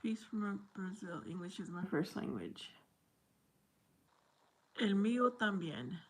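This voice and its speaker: Slow-talking woman, easygoing. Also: medium pitch, expressive, slightly rough, rough recording. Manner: unhurried, chatty, mixes in Spanish phrases. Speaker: livestream host. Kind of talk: casual livestream chat with viewers.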